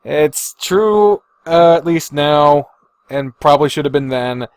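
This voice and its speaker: Slow, hesitant man thinking aloud, dry recording. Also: fairly high pitch, lively intonation, no room sound. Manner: hesitant and deliberating, sighing, profane. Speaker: podcast host. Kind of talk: casual solo podcast commentary, thinking aloud.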